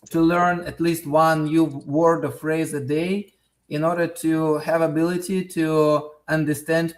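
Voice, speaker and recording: A man in his thirties, a native Russian speaker with a Russian accent, answering interview questions in a halting way with many fillers and restarts. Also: a little high. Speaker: interview guest.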